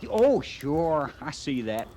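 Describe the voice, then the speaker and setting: A folksy, work-shy young-hearted trooper from a radio western. His high, lilting voice swings up and down with a rural western American drawl. He is dreamy and easygoing, on a noisy old broadcast recording. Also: sing-song, takes it slowly, warm tone. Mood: eager, obliging. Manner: drawling, folksy, hesitant under pressure.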